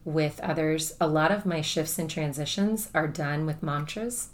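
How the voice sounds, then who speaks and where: Guest, woman, low projecting voice, relaxed chatty delivery with fillers. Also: a little slow. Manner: chatty, thinks aloud with many fillers. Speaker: guest, spiritual teacher. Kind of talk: casual conversation.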